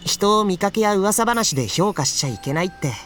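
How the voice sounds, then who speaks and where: A gentle earnest anime schoolboy, lightish voice, real quick, lots of up and down. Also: male voice, high-pitched, animated, soft background music. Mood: earnest conviction. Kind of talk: Japanese visual novel voice acting.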